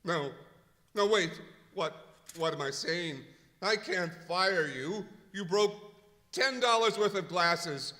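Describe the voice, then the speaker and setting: A fussy, exasperated department store manager played by a man in vintage radio comedy. The voice is fairly high and very sharp, with theatrical swings. It sounds out in a roomy hall with light noise. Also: animated, takes it slowly, full character voice. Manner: flustered, theatrical. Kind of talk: old-time radio comedy acting. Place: live stage performance in a museum hall. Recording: echoey hall, some noise.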